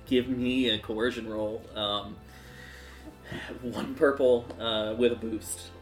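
A man improvising narration as game master of a tabletop game, in a halting, conversational way. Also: mid-range voice, fairly even intonation, echoey room, rough recording. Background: music.

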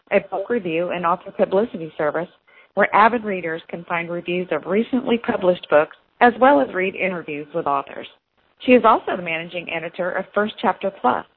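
A woman's voice: lowish voice, breathy edge. A woman hosting a show, reading a prepared introduction and asking friendly questions over the phone. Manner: reads a scripted introduction, then friendly questions. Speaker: host. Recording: tinny phone line.

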